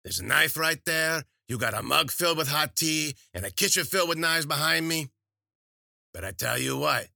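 A man's voice: a little high, animated, normal speed, creaky, pushed and bright, dry recording, studio quality, voice pushed up, full character voice. A man voicing a spiteful old woman witch, scolding and cursing.

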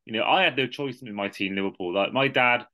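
Casting note: host in his twenties, forceful tense tone, loose rambling talk. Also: mid-range voice, a little fast. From Wales. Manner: rambling, many restarts. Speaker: podcast host. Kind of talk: casual chat.

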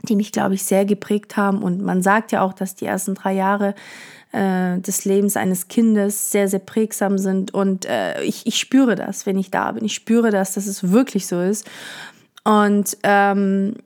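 A young woman from Portugal hosting a podcast, talking in a reflective, gentle way with many fillers. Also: mid-range voice, unhurried. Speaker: podcast host. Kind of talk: casual personal monologue.

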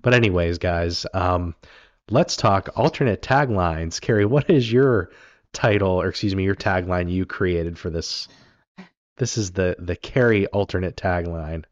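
A man co-hosting a casual film talk, his voice raspy from being sick, rambling with many ums and restarts. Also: low-pitched, a little slow, no room sound, lo-fi sound. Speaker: podcast co-host.

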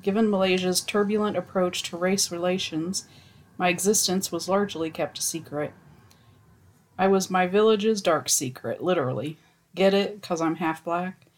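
A woman reading stories aloud in a casual chat, with wry deadpan asides and some swearing. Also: lowish voice, normal speed.

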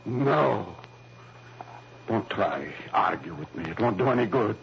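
An actor playing a gruff, agitated middle-aged father in an old-time radio drama, blunt, impatient and defensive. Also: lowish voice, expressive, takes it slowly, heavily acted. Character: agitated, gruff retired father of a kidnapped girl. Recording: noisy, muffled vintage radio recording.

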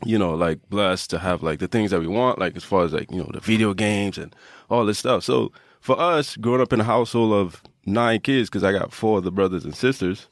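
A man's voice: on the low side, a little fast, dry recording, studio quality. A man from Trinidad, a fitness coach and podcast guest, telling his own story in a casual, reflective, conversational way.

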